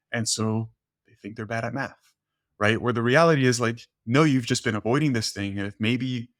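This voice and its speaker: A man's voice: medium pitch, no room sound, clean studio sound. A man whose first language is Spanish, talking casually as a podcast host and often checking in with "right?". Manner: fast, filler-heavy, says "right?" often. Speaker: podcast host, nutrition coach.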